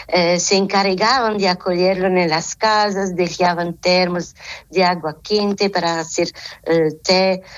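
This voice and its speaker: A woman, a nun whose first language is Portuguese, speaking Spanish with a Portuguese accent in a halting phone interview, often searching for words. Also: fairly low pitch, highs cut off.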